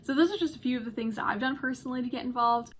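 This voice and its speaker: A young college woman speaks quickly and brightly to camera, clearly enthusiastic about her school. She talks at a brisk clip, and a faint hum sits behind her voice. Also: high-pitched. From Dallas, Texas. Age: young adult. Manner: upbeat, enthusiastic. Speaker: student ambassador. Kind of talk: casual video chat to camera.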